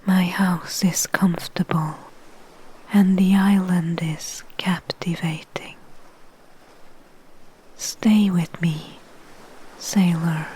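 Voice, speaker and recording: Casting an actress as a supernatural island enchantress for ASMR audio drama: lowish, very breathy female voice, calm confidence, possessive tenderness, deliberate delivery. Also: full character voice, poor audio. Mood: seductive, inviting. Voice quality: breathy, whispery. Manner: slow, soothing, deliberate. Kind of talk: soft-spoken ASMR roleplay.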